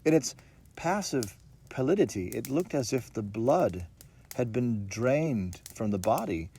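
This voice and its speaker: A man narrating a first-person gothic horror story in a measured, theatrical, expressive manner. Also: mid-range voice, a little hiss or hum. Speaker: audiobook narrator. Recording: music under the voice. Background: music.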